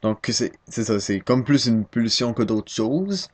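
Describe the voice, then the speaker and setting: A man's voice: medium pitch, expressive, a little slow. A nineteen-year-old man with a Québécois accent talking into his webcam at home, hesitant and self-deprecating, stumbling and restarting often. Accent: Québécois French. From Quebec, Canada. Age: 19, young adult. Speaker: first-time vlogger. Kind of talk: casual rambling webcam talk.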